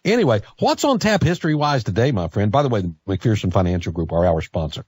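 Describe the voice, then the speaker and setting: A man's voice: on the low side, very animated, fast talker, darkish tone, breathy edge, dry recording. An American man hosting a morning talk radio show in a casual, chatty style. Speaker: radio host.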